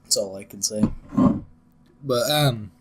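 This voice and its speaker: A man's voice: fairly low pitch, slow pace. Congested young man hosting a chat. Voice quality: slightly scratchy, nasal. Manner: rambling, many fillers and restarts. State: congested from a cold. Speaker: podcast host. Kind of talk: casual podcast chat.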